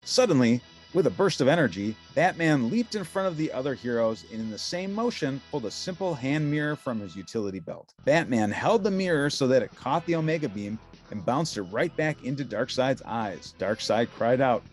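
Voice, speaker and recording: Lively, dramatic male storybook narrator. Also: mid-range voice, fairly quick, soft background music. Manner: dramatic, emphatic.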